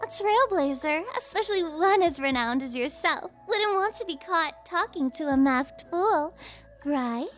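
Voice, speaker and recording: A super high girly trickster, slow teasing asmr roleplay, coy n taunting, music behind. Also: expressive, fairly bright tone. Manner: teasing, coy, mock-innocent, drawn-out phrasing. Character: playful, taunting young female masked trickster fool. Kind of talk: ASMR audio roleplay, scripted character acting.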